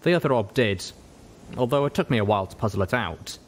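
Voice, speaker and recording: A man's voice: mid-range voice, animated, fast talker. A man reading first-person fiction aloud as a scripted audiobook narration. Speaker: audiobook reader.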